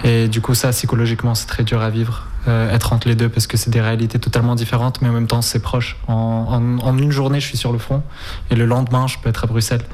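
Even-toned young man, hesitant and plain-spoken. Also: medium pitch, fast. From Ukraine, of Spanish nationality. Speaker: interview guest, volunteer and documentary maker. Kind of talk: informal spoken interview answers.

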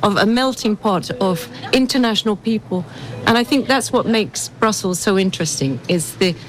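A woman's voice: mid-range voice, lively intonation, normal speed, slight background noise, rough recording. Chatty Englishwoman in her fifties. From England. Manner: enthusiastic, chatty, with restarts. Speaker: interviewee. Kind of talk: street interview.

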